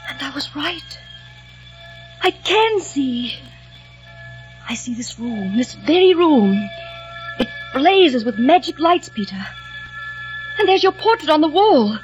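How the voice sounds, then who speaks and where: An eager, adoring young sister. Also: female voice, high voice, big pitch swings, slow, long pauses, soft background music, heavily acted, low-quality recording. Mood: entranced. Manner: breathless, eager, pleading. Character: young woman, devoted dreamy sister. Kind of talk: 1940s radio acting.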